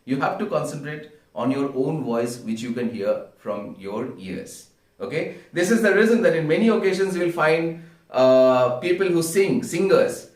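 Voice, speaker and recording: A man with an Indian accent giving an instructional talk as a public speaking coach, in a didactic way that often checks the listener is following. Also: medium pitch. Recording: echoey room, distant microphone.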